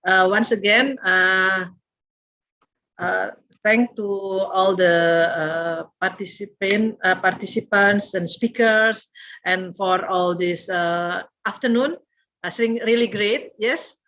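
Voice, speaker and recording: A woman's voice: mid-range voice, slow, fairly bright tone, dull audio. A woman hosting a webinar on a video call, giving short prompts with fillers and light laughs. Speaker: webinar host and founder.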